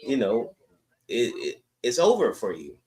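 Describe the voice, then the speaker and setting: A man's voice: medium pitch, wildly swooping pitch, measured pace, mellow. Opinionated man talking sports casually. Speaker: sports podcast co-host.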